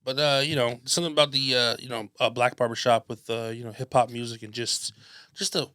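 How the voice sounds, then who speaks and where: A man's voice: mid-range voice, steady tone, fairly quick, sharp tone, no room sound, studio quality. A young man hosting a podcast, chatting in a loose, joking, rambling way. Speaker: podcast host. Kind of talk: casual chat.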